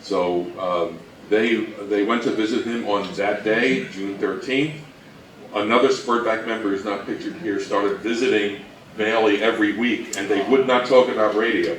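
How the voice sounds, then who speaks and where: A man's voice: lowish voice, steady tone, normal speed, a bit bright. A man giving an informal live presentation to an audience, with a wry, joking way of addressing them. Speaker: presenter at an old-time radio convention talk. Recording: roomy, distant-miked live talk.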